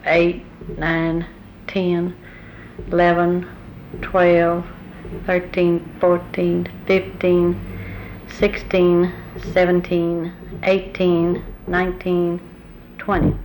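Slow, low-voiced older woman. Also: completely flat delivery, darkish tone, low-quality recording. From Southern United States. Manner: unhurried, recalls family history with pauses. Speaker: oral history interviewee. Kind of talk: recorded oral history interview. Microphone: tape recorder microphone. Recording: old tape recording with faint hiss.

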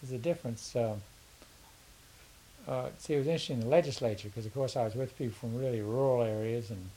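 A man's voice: mid-range voice, fast talker, low-quality recording. An older man answering interview questions casually, in a hesitant, rambling way that often repeats words. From southern United States. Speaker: interviewee, education consultant. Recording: faint steady hiss.

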